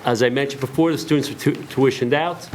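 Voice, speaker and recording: A hoarse man giving a halting, formal presentation to a school board, full of fillers. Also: mid-range voice, a little hiss or hum. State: hoarse, lost voice.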